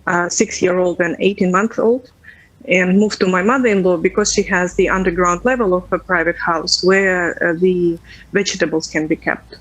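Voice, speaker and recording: A woman in her thirties from Ukraine, a native Russian speaker, speaking English with an accent in a remote news interview, earnest and halting with many fillers. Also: fairly low pitch, steady tone, low-quality recording. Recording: faint background noise.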